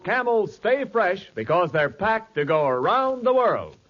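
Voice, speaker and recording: High, showy 1940s radio announcer man. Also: sing-song, a little slow. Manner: emphatic, showy salesmanship. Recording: muffled old radio broadcast over music.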